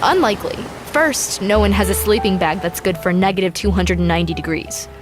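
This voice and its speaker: A woman's voice: mid-range voice, brisk, music in the background, natural voice. A woman playing a chatty, curious space traveler in a sci-fi comedy audio drama, hesitant and given to theatrical exclamations. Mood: dreamy, matter-of-fact.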